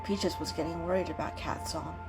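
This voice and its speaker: A woman's voice: low-pitched, steady tone, a little fast. A woman giving a scripted, dramatic narration of an animal story as a storyteller. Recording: music behind the reading.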